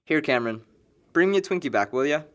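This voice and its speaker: A man's voice: a little high, animated, fast, clear, resonant tone, close to an everyday voice. A man playing a sarcastic, needling young man among longtime friends in an amateur audio drama. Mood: teasing.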